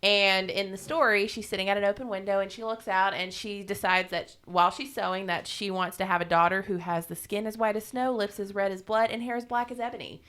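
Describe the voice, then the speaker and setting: A woman's voice: medium pitch, steady tone, a little fast, few pauses, fairly bright tone, clear, resonant tone. A woman podcast co-host casually retelling a fairy tale in an irreverent, salty-tongued, informal way.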